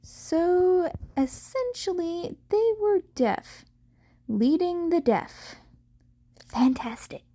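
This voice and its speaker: Slow-paced, high-voiced woman narrator. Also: sing-song, mellow. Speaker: audiobook narrator. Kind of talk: scripted story narration.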